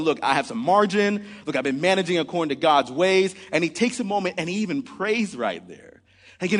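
A man's voice: a little high, long reverb tail. A man in his forties preaching a sermon in earnest, often opening with "man" or "look". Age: 40s. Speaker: pastor.

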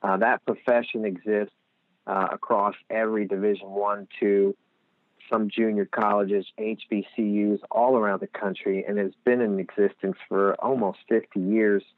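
A man with a Lehigh Valley Pennsylvania accent speaks as a guest over a tinny, phone-like line. His voice is fairly low and dry. He explains things steadily and earnestly, leaning on "you know.". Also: little pitch movement, moderate pace, no echo. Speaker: guest, nonprofit executive director. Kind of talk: interview answers.

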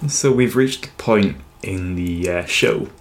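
A man's voice: deep voice, takes it slowly, slight background noise. A man hosting a podcast in casual chat, hesitant and dryly humorous. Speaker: podcast host.

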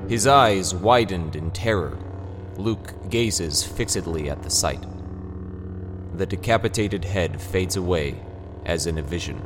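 Man, low-pitched voice, dramatic scripted narration, long reverb, music underneath. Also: a little slow.

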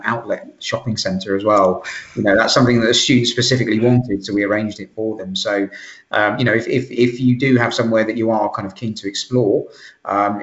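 A man with a London English accent, talking easily with a few fillers. His voice sits fairly low. The sound is roomy and dull, like a lo-fi recording in an echoey space. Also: a bit bright. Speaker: university recruitment staff. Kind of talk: casual panel discussion.

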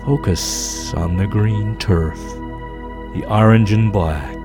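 A man voicing a soothing hypnotherapist who leads a slow, hushed guided relaxation in comic parody. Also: on the low side. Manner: slow, hushed, calming guided-imagery delivery. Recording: new-age music bed behind voice. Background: soft new-age music.

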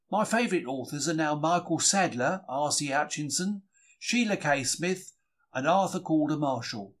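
Animated Englishman reading a wry diary. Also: on the high side. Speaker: diary reader. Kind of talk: dramatic first-person diary reading.

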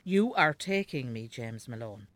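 Bossy elderly Irish mother, animated. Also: very deep voice, measured pace, no echo. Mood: scolding. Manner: scolding, bossy. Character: elderly, nagging, devout, sharp-tongued Irish mother. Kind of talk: naturalistic radio drama acting.

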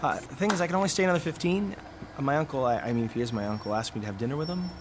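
An actor playing Nick, a hesitant young tech tinkerer who stammers casually. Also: male voice, fairly high pitch, lively intonation, racing, background noise, close to an everyday voice. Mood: nervous.

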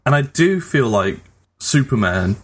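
A man's voice: mid-range voice, very animated, measured pace, breathy edge. A man hosting a casual group chat show, talking in an informal, conversational way. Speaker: podcast host. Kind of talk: casual group chat about films and games.

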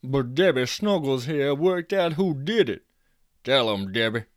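A man's voice: mid-range voice, unhurried, clean tone, no room sound, studio quality, natural voice. A man voicing a brash, boastful adult man who swears and talks in a folksy drawl. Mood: shouting.

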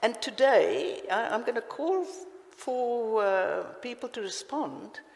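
A woman's voice: a little high, animated, slow pace, creaky. A woman with a South African accent preaching a passionate, emphatic sermon. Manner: passionate, emphatic, with sudden loud lifts. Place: church hall. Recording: reverberant hall.

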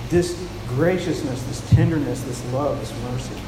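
A man preaching a sermon, soft-spoken and often repeating words as he restarts a thought, after reading scripture aloud. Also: mid-range voice, moderate pace. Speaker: pastor. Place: church with a large echoing room. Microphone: handheld mic. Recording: echoey, reverberant hall with noise.

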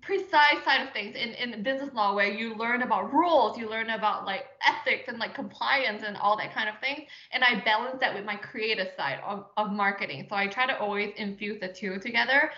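A woman in her thirties talks freely as an interview guest, full of fillers and quick laughs. Her voice sits a touch high and sounds bright. The recording is roomy and dull. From Singapore. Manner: chatty, frequent fillers, laughs often. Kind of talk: casual interview conversation.

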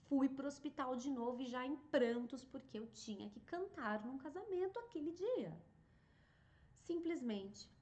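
A woman, hosting a podcast and telling a personal story in a chatty, animated way, with many fillers and restarts. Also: on the high side. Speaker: singer and podcast host. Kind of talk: casual storytelling monologue.

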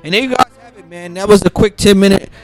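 A man with a raspy, worn-down voice talks through a casual solo podcast, sounding a bit sick. He rambles loosely, restarting lines and filling gaps with ums. His voice sits a little high for a man, with faint music underneath. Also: quick pace. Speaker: podcast host making football picks.

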